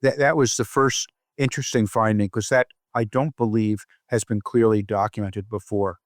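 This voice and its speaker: A man giving measured, formal explanations as an expert guest in a medical interview. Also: on the low side, steady tone, dry recording, clean studio sound. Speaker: guest, professor of cardiac electrophysiology.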